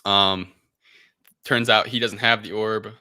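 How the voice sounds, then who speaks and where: A man with a low-pitched voice and a very bright, forceful edge talks as a podcast co-host. He recaps loosely and irreverently, wandering through the details in casual chat. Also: steady tone, takes it slowly, clear, resonant tone. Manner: rambling, offhand, irreverent.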